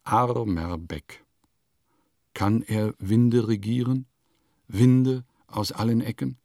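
An actor playing a wry traveling letter-writer in a radio play, with a measured, deliberate delivery that savors every odd detail. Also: male voice, mid-range voice, darkish tone, dry recording, studio quality. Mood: wry, savoring. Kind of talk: literary radio play monologue, scripted narration.

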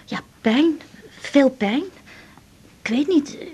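A woman's voice: sits high, slow pace, a little mellow. An actress playing an anxious, polite schoolgirl patient, hesitant and stammering, in a 1980s radio drama. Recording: dull, muffled 1980s radio recording.

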